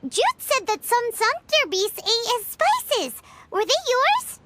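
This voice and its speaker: A woman voicing a tiny, childlike fairy companion in a video game, naive, excitable and exclamatory. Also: very high voice, slow, very noisy, exaggerated voice. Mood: curious, indignant. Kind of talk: cartoonish video game voice acting. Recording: prominent music under the voice.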